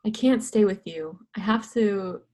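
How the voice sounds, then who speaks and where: A woman's voice: mid-range voice, unhurried, darkish tone, close to an everyday voice. A woman playing a reluctant, ageing astronomer mentor, hesitant, terse and evasive, in a readers theatre stage reading.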